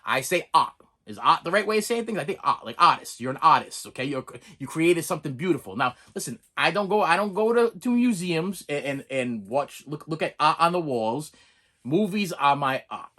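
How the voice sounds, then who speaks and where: A chatty middle-aged man with a Boston accent rambles to camera about movies, stumbling over repeated words and breaking into laughs. His voice sits a bit high and bright, swooping up and down with lively energy. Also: moderate pace. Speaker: movie review vlogger. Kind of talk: rambling casual monologue to camera.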